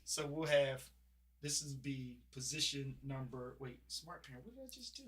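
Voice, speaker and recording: A man's voice: mid-range voice, animated, moderate pace, crowd noise. Hyped, slangy man setting up gear. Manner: hyped, slangy, repeats himself. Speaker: streamer friend and tech helper. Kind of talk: casual chat. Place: home studio.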